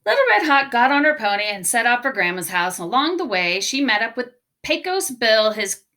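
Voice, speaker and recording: Bright, very animated woman reading aloud. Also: on the high side, normal speed. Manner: expressive, emphatic. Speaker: storybook reader. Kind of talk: children's read-aloud narration.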